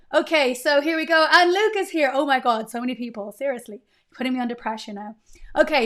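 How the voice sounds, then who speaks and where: A woman in her thirties with a fairly high voice hosts a live coding stream from her desk. She talks in a chatty, upbeat way and greets her viewers often. Her voice is slightly strained while it recovers. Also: a bit bright. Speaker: livestream coding host.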